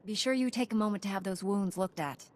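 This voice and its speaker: Female actor as noble game knight, average pitch, composed, formal, dutiful, assured. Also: fast, natural voice. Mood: composed, concerned. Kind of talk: video game voice acting.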